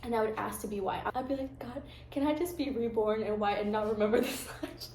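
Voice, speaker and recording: A young American woman chatting casually, talking candidly and reflectively in a soft-spoken way. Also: a little high, brisk, reverberant, slight background noise. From United States. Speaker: guest. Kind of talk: casual chat.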